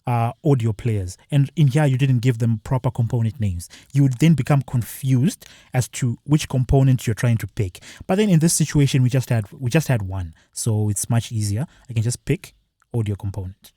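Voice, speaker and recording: A man presenting a software tutorial, explaining each step plainly as he walks through a screen-share. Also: medium pitch, normal speed, dry recording, clean studio sound.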